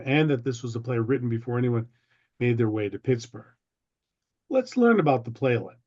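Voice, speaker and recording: A man giving a prepared history talk with slides over a video call, phrasing deliberately like a storyteller. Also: medium pitch, mellow. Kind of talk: slide presentation, prepared lecture. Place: online video meeting. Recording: dull, lo-fi video call audio.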